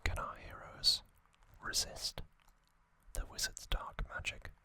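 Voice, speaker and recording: An ASMR host whispering trivia, softly repeating single words as triggers and reading from a box cover. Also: takes it slowly, dry recording. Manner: reads aloud from a box cover.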